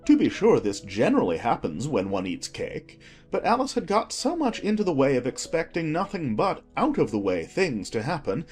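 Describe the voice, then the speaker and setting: A man reading scripted storybook narration in an expressive storytelling manner. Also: medium pitch.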